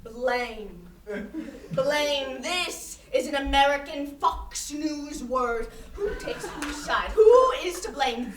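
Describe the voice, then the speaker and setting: A woman playing a stubborn, evasive intellectual in a staged reading, emphatic and halting as she contradicts and lectures. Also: high-pitched, animated. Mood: exasperated, insistent. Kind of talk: staged reading of a play before an audience. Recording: roomy, distant stage recording with faint noise.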